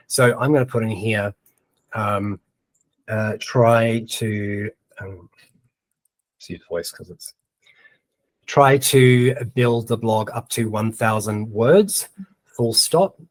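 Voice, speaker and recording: A man's voice: on the low side, no echo. A man with a New Zealand accent giving a casual screen-share tutorial, chatting and thinking aloud as he types, with plenty of ums. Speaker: presenter of a webinar.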